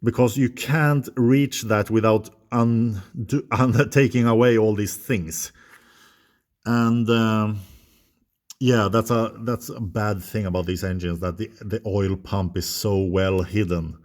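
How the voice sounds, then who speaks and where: Slow-talking Swedish man explaining repairs. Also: on the low side, steady tone, a little mellow. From Sweden. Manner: slow, halting, thinking aloud while working. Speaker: DIY mechanic. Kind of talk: hands-on repair tutorial.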